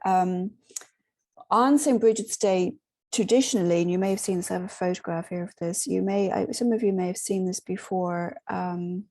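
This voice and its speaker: Hoarse woman with a soft, mellow voice. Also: lowish voice, normal speed. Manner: gentle, rambling, many ums. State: hoarse, sore throat. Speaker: spiritual workshop host. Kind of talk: casual talk to an online group.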